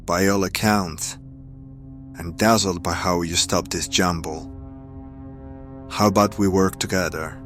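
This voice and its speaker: An actor playing a sly, self-serving ally in an audio drama, speaking in a cool, formal, old-fashioned way. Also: male voice, sits low, fairly even intonation, unhurried, pauses often, fairly bright tone, soft background music. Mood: smooth, assured. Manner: cool, formal, archaic turns of phrase. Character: sly, self-serving male ally turned against former masters.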